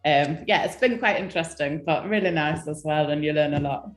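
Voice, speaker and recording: A young woman from England, a guest designer chatting casually on a live stream, hesitant with many ums and soft laughs. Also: deep voice, brisk, roomy sound, soft background music.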